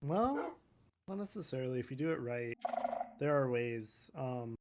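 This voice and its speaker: A man's voice: medium pitch, sing-song, measured pace, background noise. A man casually explaining things as a player in a game roleplay. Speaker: roleplay gamer.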